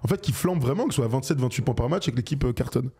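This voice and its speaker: A man hosting a basketball call-in show, talking quickly and getting worked up in jokey banter. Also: medium pitch, slightly rough. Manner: excitable, rapid, jokey. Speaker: radio show host.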